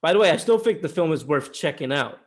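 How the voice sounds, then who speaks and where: A man's voice: a little high, lively intonation, quick pace. A man co-hosting a podcast in casual film chat, informal and loose-tongued with the odd swear word. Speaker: podcast co-host.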